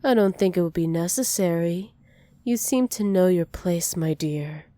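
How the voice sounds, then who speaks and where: A woman playing a dominant, possessive villain who dotes on a captive, softly menacing and teasing in an ASMR roleplay. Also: lowish voice, lively intonation, measured pace, dry recording. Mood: commanding, possessive. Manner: soft, teasing menace with breathy whispered endearments.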